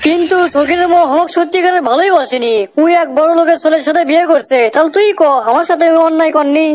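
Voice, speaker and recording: Scheming cartoon dad, played by a man, middle-aged, nagging, very high pitched-up voice. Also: fast talker, a little hiss or hum. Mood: aggrieved. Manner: nagging, wheedling, colloquial. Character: sly, resentful middle-aged village father, scolding. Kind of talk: comic cartoon dialogue.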